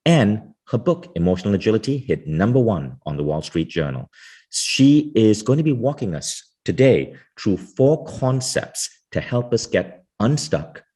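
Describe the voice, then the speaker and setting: Low husky-voiced podcast host man. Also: very animated, measured pace, dark tone. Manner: enthusiastic, effusive introductions. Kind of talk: podcast interview.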